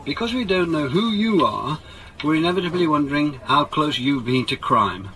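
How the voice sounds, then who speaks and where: A man playing a formal television arts presenter, delivering a scripted introduction and question with deliberate authority. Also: on the high side, animated, pushed and bright, a little hiss or hum, rough recording.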